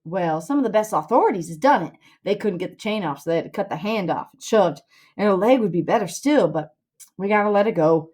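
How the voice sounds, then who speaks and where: A woman's voice: medium pitch, lively intonation, a little fast, darkish tone, husky. A woman voicing a bossy, grandiose boy schemer in a storybook reading, lecturing scornfully in rustic dialect. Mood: reluctant, reasoning.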